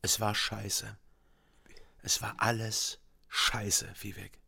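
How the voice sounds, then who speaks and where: An actor playing a weary, disillusioned East German ex-agent in a radio crime drama, gravelly, brooding and terse. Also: male voice, lowish voice, little pitch movement, pushed and bright, in a whisper, heavily acted. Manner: brooding, terse, halting under pressure.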